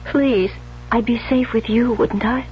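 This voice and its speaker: Actress as jealous, obsessive young bride-to-be, high voice, intense and pleading, 1970s radio thriller, muffled hissy tape. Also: female voice, fairly even intonation, unhurried, natural voice. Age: young adult. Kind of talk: 1970s radio drama acting. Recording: dull, noisy vintage radio recording.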